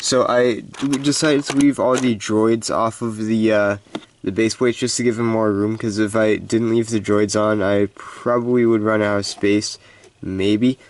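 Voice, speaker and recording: A man with a congested voice giving a casual, halting, unscripted show-and-tell of a collection. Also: on the low side, fairly even intonation, darkish tone. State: stuffed-up, congested voice. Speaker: hobby collector hosting a video.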